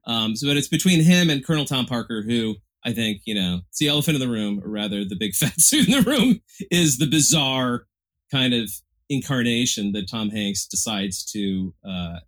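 A man's voice: mid-range voice, animated, moderate pace, fairly bright tone. A man co-hosting a casual film review chat, thinking aloud in long, winding, thoughtful remarks. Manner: discursive, thoughtful, many fillers. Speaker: film critic co-host.

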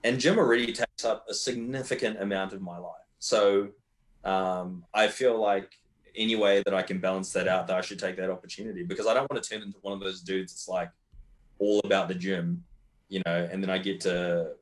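A low-voiced young Australian man chatting casually on a podcast. He talks candidly, wanders through his thoughts with restarts, and drops the odd swear word. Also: steady tone, fairly quick. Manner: candid, rambling, swears freely. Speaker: guest powerlifter.

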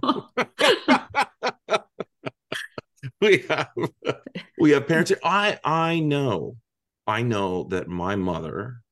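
A talkative man in his fifties leads a panel discussion with a gravelly grain and a voice that swoops up and down as he laughs through his asides. The sound is dry and close. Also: fairly low pitch, no echo. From Iran. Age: 50s. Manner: animated, laughing often, asides and restarts. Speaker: host.

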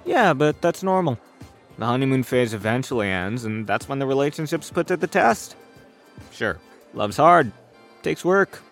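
A man's voice: mid-range voice, big pitch swings, normal speed, soft background music. A man playing an outspoken, principled young friend with a dry manner in an audio drama. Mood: supportive.

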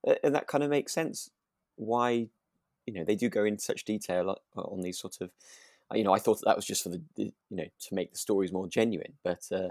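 A man co-hosting a relaxed podcast, agreeable and a little rambling and hesitant as he talks. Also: lowish voice, a little fast, no echo, studio quality. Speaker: podcast co-host. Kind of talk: relaxed casual discussion of a radio drama.

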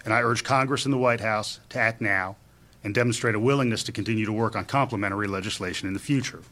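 An older man, a local government official, reading a formal prepared statement in a measured way. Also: fairly low pitch, bright, forceful tone.

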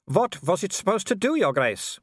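A man voicing a gloating male werewolf villain in an audiobook, taunting with drawn-out, mocking courtesy. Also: high voice, very animated, no room sound, studio quality, voice pushed up. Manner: taunting, drawn-out mock politeness.

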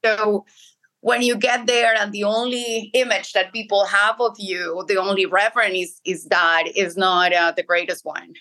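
Bright-voiced Colombian woman. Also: mid-range voice, poor audio. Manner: earnest, restarts phrases often. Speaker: interview guest. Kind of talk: conversational interview.

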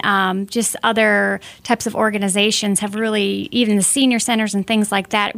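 A woman's voice: medium pitch, gravelly, a bit bright. A middle-aged woman hosting a casual interview, friendly and playful, with a married grown daughter. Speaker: host.

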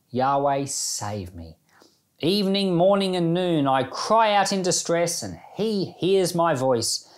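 A man hosting a church Bible podcast, reading scripture and giving informal devotional commentary. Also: mid-range voice.

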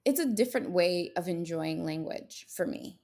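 Haitian creole native lady hosting a podcast, low gravelly voice, gets fired up. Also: animated, moderate pace, mellow. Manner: passionate, emphatic, rhetorical questions. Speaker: podcast co-host. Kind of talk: casual podcast conversation.

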